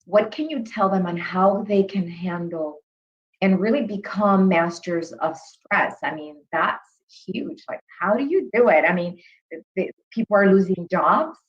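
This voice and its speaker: A woman's voice: mid-range voice, unhurried. Effusive woman host, dull lo-fi sound. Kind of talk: interview conversation.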